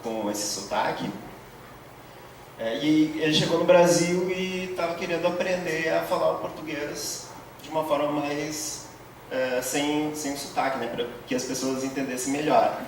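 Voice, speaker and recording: A man's voice: on the high side, unhurried. A man teaching a university course, explaining ideas with many fillers and tag questions. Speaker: course instructor. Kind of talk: university lecture. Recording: very echoey, distant, faint room noise.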